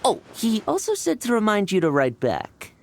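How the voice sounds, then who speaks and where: A woman voicing an energetic, cocky young male knight in a video game. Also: mid-range voice, normal speed, a little hiss or hum. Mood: friendly. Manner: energetic, cocky, laughs easily.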